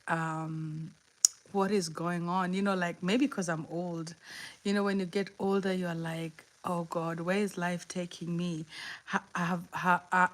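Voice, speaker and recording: A woman in her fifties with a Kenyan accent, hosting a casual live chat. She talks in a chatty, encouraging way, laughs often and answers viewers' comments. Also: fairly low pitch. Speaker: livestream host.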